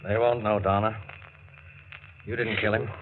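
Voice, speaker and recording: An actor playing a shrewd, calm male doctor, measured and authoritative in 1940s radio drama style. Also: lowish voice, lightly acted. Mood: calm, knowing. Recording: muffled, hissy 1940s radio broadcast.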